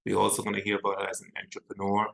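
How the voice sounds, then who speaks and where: A man hosts an interview show in a very deep voice with a gravelly edge. His tone is bright and tense, and he welcomes his guest formally before cutting in with short replies. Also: completely flat delivery, rapid-fire. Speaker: talk show host. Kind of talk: interview hosting.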